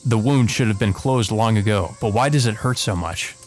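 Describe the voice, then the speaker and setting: A man's voice: fairly low pitch, moderate pace. A man hosting a let's play, reading visual novel narration aloud and adding casual asides, with game music behind him.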